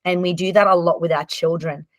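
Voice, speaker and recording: A woman's voice: on the low side, steady tone, fairly quick, no room sound, studio quality. A woman in her forties, born in Lebanon with Arabic and French as first languages, chatting candidly as a podcast guest with frequent ums and restarts. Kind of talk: casual conversational storytelling.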